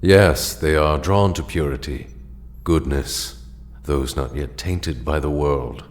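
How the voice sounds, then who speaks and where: An extremely low-voiced alien mentor in an audio drama. He is ancient and grave, speaking with formal, measured solemnity as the last survivor of his people. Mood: solemn, commanding.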